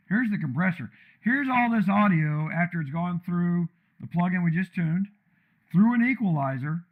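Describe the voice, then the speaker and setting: A man live-streaming while he tunes his microphone, talking in a blunt, loud, rambling way with a deep, commanding voice, in an untreated room with a computer fan. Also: phone-quality sound. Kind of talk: casual live-stream rant.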